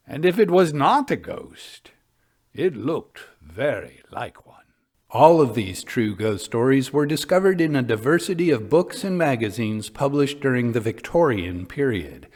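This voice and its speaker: A man narrating ghost stories in a measured, dramatic reading style with deliberate pauses. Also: a little high. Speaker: narrator and host. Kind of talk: scripted spooky story narration.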